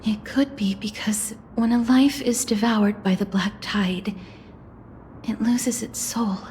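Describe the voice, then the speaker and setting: An actress playing a composed, serious heroine in scripted fantasy game dialogue. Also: female voice, medium pitch, takes it slowly, darkish tone, a little hiss or hum, natural voice. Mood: grave. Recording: echoey hall reverb, faint music.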